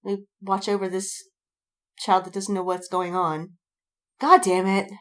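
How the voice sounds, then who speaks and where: A woman's voice: medium pitch, little pitch movement, a little slow. A woman podcast co-host chatting casually, telling stories in a rambling way with acted-out quotes and frequent swearing. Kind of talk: casual chat.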